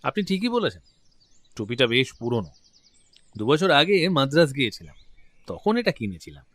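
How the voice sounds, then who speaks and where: An actor playing a genial, talkative middle-aged gentleman client in a detective audio drama. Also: mid-range voice, very animated, moderate pace, no echo. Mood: impressed, genial. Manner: genial, talkative, open-hearted. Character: affable, chatty gentleman client, proud father.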